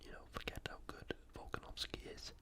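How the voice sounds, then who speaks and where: An ASMR host, speaking entirely in a breathy whisper in a casual, conversational commentary style. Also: a little fast. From United Kingdom. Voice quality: whispered, unvoiced. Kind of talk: ASMR fight preview commentary.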